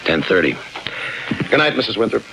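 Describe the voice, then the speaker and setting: Low-voiced, hard-boiled police lieutenant. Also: male voice, a little fast, vocal fry, a little hiss or hum, full character voice, rough recording. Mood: terse, cool. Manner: terse, deadpan, clipped. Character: hard-boiled, laconic, short-tempered male homicide lieutenant. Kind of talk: 1950s radio crime drama acting with first-person narration. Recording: old radio broadcast with music behind.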